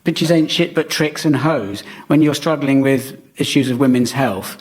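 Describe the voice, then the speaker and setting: A man's voice: medium pitch, moderate pace. A man trading conversational comic banter as a panellist on a comedy show. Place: radio comedy recording before a live audience. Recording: live comedy show with background noise.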